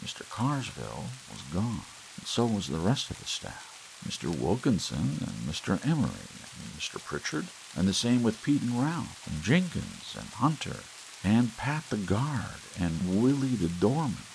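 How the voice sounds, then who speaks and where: Deep gravelly male storyteller. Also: measured pace, slight background noise, poor audio. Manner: expressive storytelling with lively rises and soft low passages. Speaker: audiobook narrator. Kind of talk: ASMR story reading. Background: soft rain sounds.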